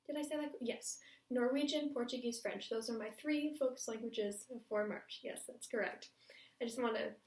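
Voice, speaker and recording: A woman's voice: on the high side, moderate pace. Woman, casual language vlog, fillers and self-checks, recorded in an echoey room at some distance from the mic. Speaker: language-learning vlogger. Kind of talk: casual talk to camera. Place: home room, cars outside. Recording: echoey room, voice a bit far off.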